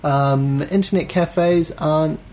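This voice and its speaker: Woman, podcast host, casual chat, hesitant, very low pitch. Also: slow pace, slight background noise. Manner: relaxed, hesitant with many ums.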